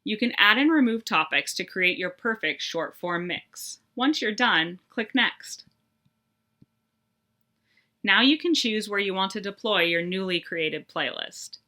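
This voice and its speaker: A woman presenting a scripted tutorial in a clear, upbeat, instructional manner. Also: mid-range voice, expressive, measured pace, pushed and bright.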